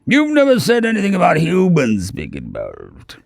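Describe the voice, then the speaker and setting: A man's voice: high voice, sing-song, vocal fry, a little mellow, no room sound, studio quality, pitched above the performer's own voice, exaggerated voice. A man doing a cold, curt alien assassin. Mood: menacing. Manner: firm, clipped, measured.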